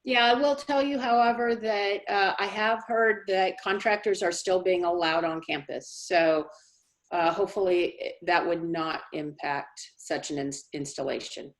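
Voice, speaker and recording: A woman speaking as a college dean, answering questions in a measured, reassuring, explanatory way on a video call. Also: lowish voice, fairly even intonation. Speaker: college dean hosting a town hall. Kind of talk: informal question-and-answer briefing. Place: remote online meeting.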